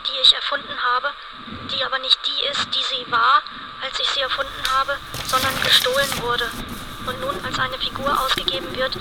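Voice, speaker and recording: High-voiced woman, interior monologue over noise. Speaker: writer-performer of a radio art piece. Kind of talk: interior monologue in experimental radio art.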